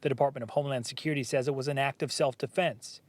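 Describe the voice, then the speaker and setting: A man's voice: mid-range voice, fairly even intonation, racing. A man with an American accent giving a live television news report outdoors on a city street. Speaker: TV field reporter.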